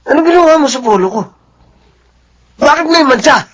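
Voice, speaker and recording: Young Filipino man, high raspy voice, animated, spoiled hot-tempered boss, brash, radio drama. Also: normal speed. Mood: furious. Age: 20s. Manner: brash, petulant. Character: spoiled, hot-tempered drug-using young male employer.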